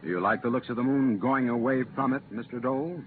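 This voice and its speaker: An actor playing an earnest, regretful but firm commission official in a 1950s radio drama, speaking deliberately and emphatically. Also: male voice, medium pitch, natural voice. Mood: measured. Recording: muffled, noisy 1950s radio transcription.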